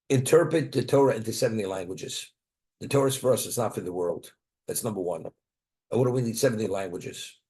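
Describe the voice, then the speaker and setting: A man's voice: mid-range voice, a little mellow. A man giving a Torah class who asks rhetorical questions and answers them, weaving Hebrew and Aramaic terms into his English and often restarting his words as he thinks aloud. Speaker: Torah teacher.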